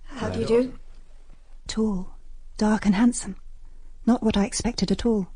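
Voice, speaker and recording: A woman's voice: on the high side, fairly even intonation, measured pace, warm tone, husky, no echo. An actress playing an appraising, sympathetic young woman thinking privately in a radio drama. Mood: curious, hushed. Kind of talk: hushed radio drama interior monologue.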